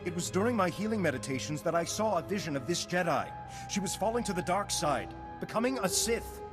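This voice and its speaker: A fairly high male Jedi voice, swinging widely in pitch. He is an accusing, ominous game character, insistent and dramatic over loud music. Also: lively intonation, a little fast. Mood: grim warning. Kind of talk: video game cutscene voice acting.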